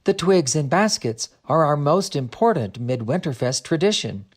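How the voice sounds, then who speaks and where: A man's voice: fairly high pitch, animated, normal speed, pitched above the performer's own voice. A man voicing a friendly woman guide in an audiobook story, patiently explaining things. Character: Greta, friendly woman guide explaining her land's customs. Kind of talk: audiobook character dialogue.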